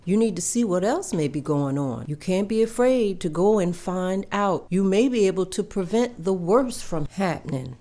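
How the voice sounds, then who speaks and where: A woman plays a practical daughter-in-law who presses gently and firmly. Her voice is mellow and warm at a middling pitch. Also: animated, normal speed, hardly any pauses, natural voice. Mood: concerned. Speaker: table read actor. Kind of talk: table read.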